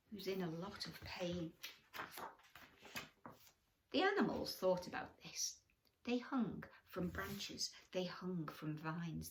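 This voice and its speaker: A woman's voice: fairly low pitch, gravelly, slight background noise. A woman storyteller reading a bedtime story expressively to children, with questions and asides to the young listeners.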